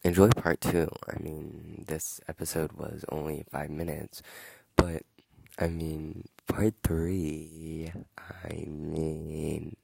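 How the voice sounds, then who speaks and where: A man's voice: rumbling low, flat delivery, slow, gravelly, darkish tone, husky, no echo. A young man hosting a podcast, telling a story in a rambling, filler-heavy, indignant way. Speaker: podcast host. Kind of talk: casual storytelling monologue. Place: outdoors in wind.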